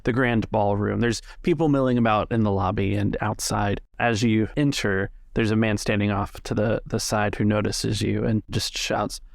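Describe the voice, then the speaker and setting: A man working as game master, narrating a tabletop game in a halting, conversational way. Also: fairly low pitch, fairly even intonation, vocal fry, no echo, studio quality.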